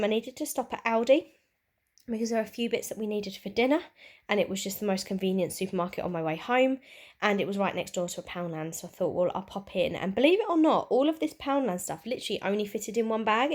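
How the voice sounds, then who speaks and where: A young woman with a stuffy, bunged-up voice, chatting casually and rambling through a shopping haul. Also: mid-range voice. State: bunged up with a cold. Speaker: craft vlogger and teacher. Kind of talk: casual haul vlog chat.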